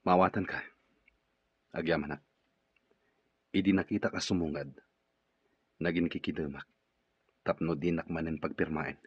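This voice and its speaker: Gloomy, husky, very low-voiced man. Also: steady tone, normal speed, pauses often. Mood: weary, guarded. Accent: Ilocano Filipino. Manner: downcast, grumbling. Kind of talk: Filipino radio drama acting. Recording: dull lo-fi radio recording.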